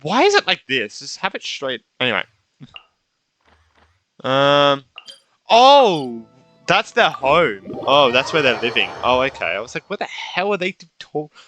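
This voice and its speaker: A man with a bright, slightly high voice plays through a video game and comments casually. His pitch moves around a lot as he reacts off the cuff, with faint music behind him. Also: big pitch swings, unhurried. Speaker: gaming streamer playing through a story quest.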